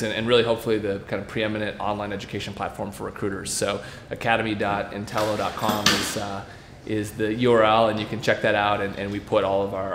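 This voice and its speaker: A man moderating a panel before an audience, relaxed, with many fillers and restarts. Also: on the low side. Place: event room before an audience. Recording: echoey room with faint noise.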